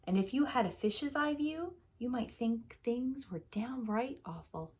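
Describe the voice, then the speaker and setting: A woman's voice: on the high side, animated, a little slow, darkish tone, husky. A woman preschool teacher narrating a children's picture book as a storyteller.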